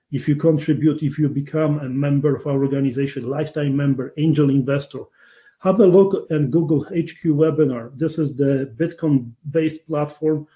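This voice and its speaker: A man's voice: on the high side, dark tone. A man with a Polish accent, born in Poland, hosting an online webinar and persuading his audience, often checking in with them. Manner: persuasive, keeps asking the audience "right?". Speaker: webinar host.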